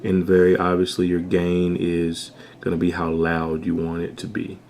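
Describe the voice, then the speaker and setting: A young man with a bassy voice, giving a laid-back, slangy home-studio tutorial. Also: monotone, normal speed, gravelly, darkish tone, a little hiss or hum. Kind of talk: casual tutorial talk.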